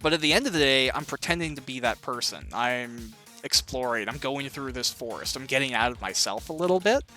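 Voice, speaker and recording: A man's voice: mid-range voice, expressive, brisk, hardly any pauses, bright, forceful tone, soft background music. A man chatting casually and easygoingly as an interviewer while playing a video game, rambling a little as he goes. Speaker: interviewer hosting a cast interview.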